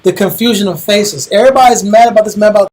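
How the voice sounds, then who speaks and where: A man with an American accent and a husky voice, teaching religion in a colloquial, emphatic way, repeating phrases for emphasis. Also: sits high, fast, darkish tone. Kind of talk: informal sermon discussion.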